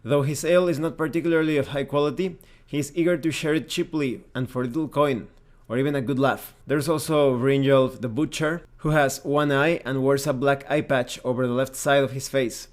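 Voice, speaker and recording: Guy doing a game review, explains stuff step by step, medium pitched voice. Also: fairly even intonation, brisk. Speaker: reviewer, host of a tabletop game review channel. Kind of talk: semi-scripted review narration, explanatory.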